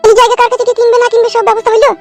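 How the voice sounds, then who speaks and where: A woman's voice: very high-pitched, monotone, racing, a little mellow, faint music behind. A woman playing a long-suffering village wife in a comic cartoon, plaintive and complaining. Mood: plaintive, questioning.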